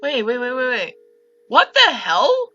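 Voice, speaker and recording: A woman giving casual, excitable commentary as host of a gaming let's play. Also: high-pitched, a little slow, highs cut off.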